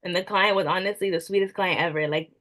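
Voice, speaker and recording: A young woman, an event planner, answering interview questions in relaxed casual talk, with lots of "like" fillers. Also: on the low side, quick pace. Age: young adult. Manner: soft-spoken, frequent "like" fillers.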